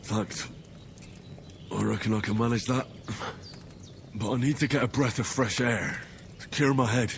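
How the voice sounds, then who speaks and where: A man's voice: mid-range voice, unhurried, vocal fry, long pauses, slight background noise. An actor playing a young man, wounded and earnest, who speaks politely and plainly. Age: young adult. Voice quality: earnest, courteous. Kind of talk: video game dialogue.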